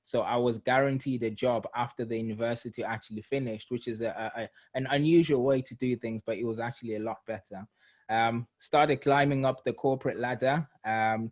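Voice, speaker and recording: A man in his thirties from Zimbabwe talks through his story as an interview guest. He speaks in a mid-range voice, reflective and unhurried, with plenty of fillers. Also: medium pitch, darkish tone. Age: 30s. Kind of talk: casual interview.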